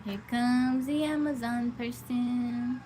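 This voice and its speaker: A woman's voice: on the high side, fairly even intonation, slow, mellow, a little hiss or hum, poor audio. An American woman hosting a casual livestream, greeting viewers by name and chatting about being hungry and jittery. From United States. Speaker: livestream host. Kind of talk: casual live chat with viewers.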